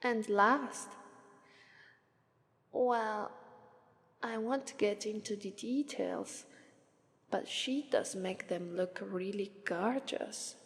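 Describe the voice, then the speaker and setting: Woman acting a languid Italian-accented demoness, very slow. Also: medium pitch. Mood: dry, amused. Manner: unhurried, drawling, detached. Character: languid, aloof female demon of Sloth, regally lazy. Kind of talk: ASMR character roleplay. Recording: long reverberant hall echo.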